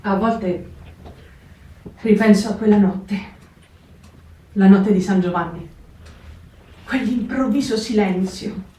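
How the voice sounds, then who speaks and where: A restless young survivor, played by a woman presses question after question in a slow, mellow voice. She speaks from a distance in a very echoey room. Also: medium pitch, natural voice. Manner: urgent, questioning. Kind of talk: performed theatre reading on radio.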